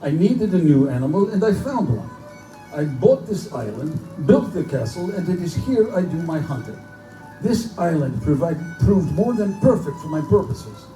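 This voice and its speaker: Male actor as aristocratic Russian general, cold big-game hunter, courtly, theatrical, higher-pitched, distant echoey recording. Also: big pitch swings, normal speed, dark tone. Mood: coolly intense. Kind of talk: live dramatized pulp-fiction stage reading. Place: bookstore live performance before microphone. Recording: echoey live room, distant mic, music underneath.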